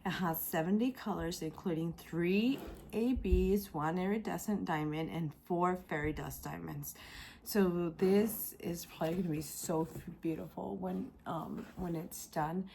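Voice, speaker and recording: A woman hosting a casual craft unboxing vlog, her voice raspy and congested from a sore throat, speaking hesitantly with many ums. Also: on the low side, unhurried, dark tone, slight background noise. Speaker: craft channel host.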